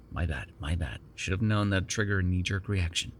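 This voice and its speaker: A man's voice: low-pitched, moderate pace, a little hiss or hum, lightly acted. A man playing a sardonic, prickly but secretly caring vampire in an ASMR roleplay, with a teasing manner. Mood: soothing, hypnotic. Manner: teasing, sarcastic, breaking into exasperation and soothing murmurs.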